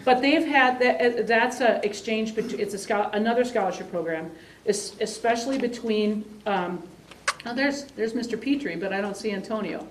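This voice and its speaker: A woman coordinating an exchange program, speaking to a meeting in a halting, rambling way full of fillers and restarts. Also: on the high side. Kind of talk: presentation to a meeting. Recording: roomy sound from a distant mic, faint hiss.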